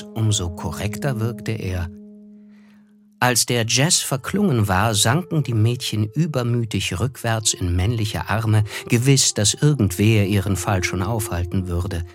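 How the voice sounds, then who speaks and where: A low, composed voice of a man acting as a reserved young narrator looking back on his past. He tells it in measured radio play prose, with faint music underneath. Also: close to an everyday voice. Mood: reflective.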